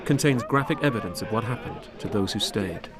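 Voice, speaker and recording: A man narrating a documentary from a script in a measured, grave manner. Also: fairly low pitch, lively intonation, crowd noise. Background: music under the voice.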